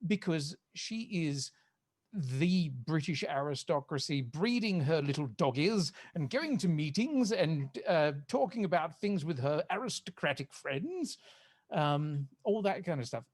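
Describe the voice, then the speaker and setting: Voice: medium pitch, animated, unhurried, darkish tone. Casual podcast panelist explaining things.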